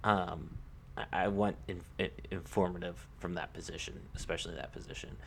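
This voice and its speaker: A man co-hosting a casual sports podcast, talking in a halting way with repeated words and lots of "like". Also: deep voice, fairly even intonation, gravelly, a bit bright, slight background noise. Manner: halting, repeats himself, peppers sentences with "like".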